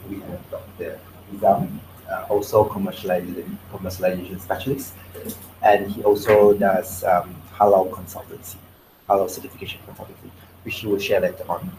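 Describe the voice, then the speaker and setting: A man's voice: low-pitched, background noise. A man with a Malaysian English accent, a commercialization specialist who is losing his voice, giving a conversational workshop talk and quizzing the audience. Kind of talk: workshop presentation. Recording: echoey room, faint ambience.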